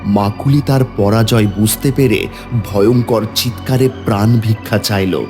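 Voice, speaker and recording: Male story narrator: fairly deep pitch, dramatic scripted read over faint music. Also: a little slow.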